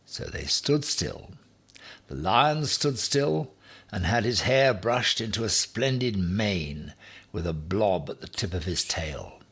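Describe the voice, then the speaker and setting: A man reading a children's story aloud in a deliberate, expressive way with dramatic emphasis. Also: on the low side, breathy edge. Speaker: story reader.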